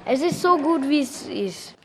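High-voiced child, a girl being interviewed. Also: lively intonation, unhurried, fairly bright tone, a little hiss or hum. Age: child, about 8 to 12. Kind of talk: casual interview answers.

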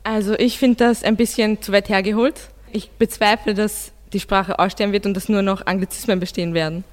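A woman's voice: medium pitch, steady tone, brisk, in a large hall. A teenage girl, casually debating as a student guest on school radio.

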